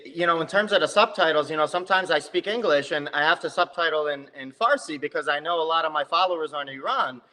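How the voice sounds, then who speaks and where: A man from New Jersey, a comedic video creator, chatting lively in an interview and quick to tell stories. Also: a little high, long reverb tail, low-quality recording. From New Jersey, USA. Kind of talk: casual interview chat.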